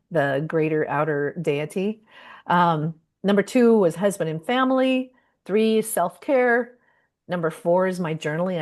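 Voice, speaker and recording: A woman speaking as a guest patient advocate in an online discussion, giving a candid, reflective personal account in a halting, self-interrupting way. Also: sits low, a little mellow.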